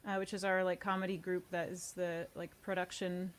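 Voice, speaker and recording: A woman's voice: fairly low pitch, flat delivery, brisk. A woman chatting casually as a guest in an interview. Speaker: interview guest, audio series co-creator. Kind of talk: casual chat.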